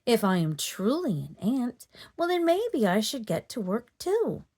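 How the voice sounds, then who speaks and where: A woman's voice: on the high side, big pitch swings, measured pace, a little mellow, heavily acted. Lady doing a tiny girl flying horse for a kids storybook, earnest n full of questions, voice swoops around. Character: small winged flying horse, young female, wondering and earnest. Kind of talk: children's storybook character reading.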